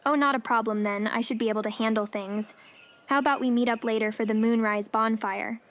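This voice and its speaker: A woman playing a modest, self-doubting young female satyr potion maker in a fantasy audio drama, soft-spoken and unassuming. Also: a little high, fairly even intonation, fairly quick, natural voice. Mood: businesslike, friendly.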